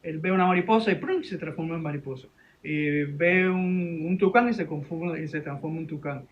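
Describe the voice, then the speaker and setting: A man with a Venezuelan accent telling a story informally in an interview, halting and repeating his words. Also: on the high side, lo-fi sound. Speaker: interview guest, animation director and teacher.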